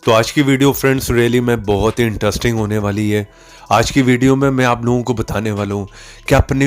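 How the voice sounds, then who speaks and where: A man giving a casual step-by-step app tutorial voice-over, often checking in as he instructs. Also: medium pitch. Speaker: tutorial channel host. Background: background music.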